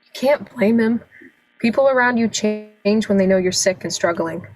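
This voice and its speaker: A woman's voice: medium pitch, moderate pace, a little mellow, natural voice, rough recording. A woman acting in a radio play as a weary, forgiving, bereaved woman. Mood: weary, consoling.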